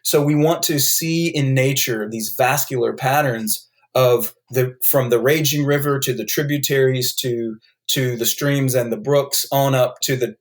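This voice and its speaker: A man's voice: mid-range voice, fairly even intonation, measured pace. A man with a North Carolina accent, a guest author explaining his ideas in a podcast interview, thoughtful and full of restarts.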